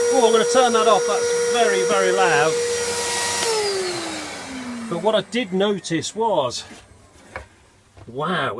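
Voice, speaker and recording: A man's voice: high-pitched, very noisy. Congested man in a chatty boat vlog. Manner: chatty, explains step by step. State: congested with a cold. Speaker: vlogger. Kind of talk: casual DIY vlog. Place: on a narrowboat.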